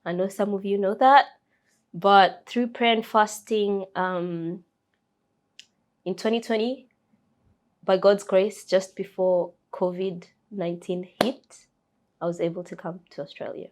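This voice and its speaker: A young woman from Kenya with a Kenyan accent talks through her story in a relaxed interview. She speaks softly and hesitantly, with many fillers and restarts. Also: mid-range voice, unhurried. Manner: soft-spoken, frequent fillers and restarts. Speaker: interview guest.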